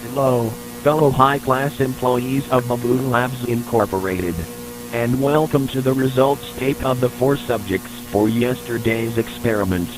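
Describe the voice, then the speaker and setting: A man narrates a scripted report for an analog horror tape, keeping a formal and matter-of-fact manner. His pitch is average for a man, and a clear electrical hum and noise runs through the recording. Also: steady tone, measured pace.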